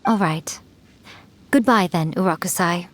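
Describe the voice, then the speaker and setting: A woman's voice: fairly low pitch, unhurried, a little hiss or hum, close to an everyday voice. Woman voicing a sly, poised fox priestess. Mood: gentle teasing. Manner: poised, teasing, smug. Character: sly, elegant, centuries-old female fox-spirit priestess. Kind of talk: video game character acting.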